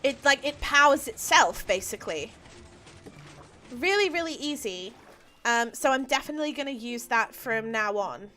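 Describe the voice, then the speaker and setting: A woman's voice: on the high side, lively intonation, normal speed, bright, forceful tone. A young woman chatting casually while she streams a game, with lots of ums and easy laughs. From Australia. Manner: chatty, many ums and restarts, laughs easily. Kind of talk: casual live-stream chat while playing.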